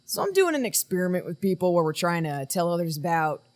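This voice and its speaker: A woman's voice: lowish voice, very animated, fast talker. A woman playing a weary, commanding research team leader in a naturalistic audio drama, matter-of-fact with some hesitation. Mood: flustered.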